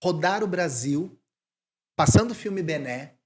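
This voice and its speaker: A man from Brazil in his forties, a missionary talking casually in an interview, animated and thinking aloud with restarts. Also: a little high, a little slow, breathy edge. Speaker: missionary guest.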